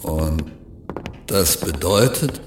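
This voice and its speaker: A man playing a courteous older spaceship captain with dry wit and a measured, polite manner in a science-fiction audio drama. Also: deep voice, animated, noisy background, full character voice. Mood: skeptical, dry. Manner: measured, polite, dryly ironic.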